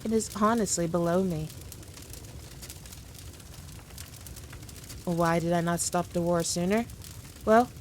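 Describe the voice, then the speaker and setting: A woman's voice: lowish voice, moderate pace, a little hiss or hum, natural voice. A woman playing a powerful demon queen in a scripted roleplay, talking down to the listener in a teasing, dominant, condescending way. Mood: proud, matter-of-fact. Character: haughty, playful, all-powerful female demon queen.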